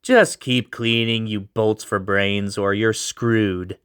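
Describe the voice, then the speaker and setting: A man's voice: on the low side, steady tone, slow, fairly bright tone, clean tone. A man voicing a bitter, struggling young man who is exasperated and snappy, in a storybook reading. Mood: impatient.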